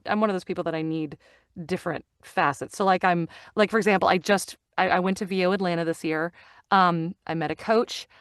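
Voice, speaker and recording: A middle-aged woman, a voice actor and audiobook narrator, chatting in an interview with lively enthusiasm and many restarts. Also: lowish voice, clean tone, no echo, studio quality. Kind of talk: casual interview chat. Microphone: studio microphone.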